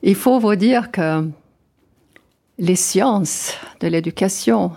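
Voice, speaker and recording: An older woman from Sweden speaking French with a Swedish accent, answering interview questions thoughtfully, often pausing with fillers and restarts. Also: medium pitch, creaky, warm tone. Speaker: guest.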